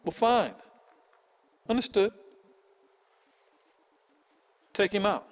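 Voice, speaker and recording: An actor playing a resolute rebel leader and politician in a game cutscene, speaking earnestly. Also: male voice, high-pitched, expressive, unhurried. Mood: grim resolve. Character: resolute male rebel leader turned planetary president. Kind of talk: dramatic game cutscene acting.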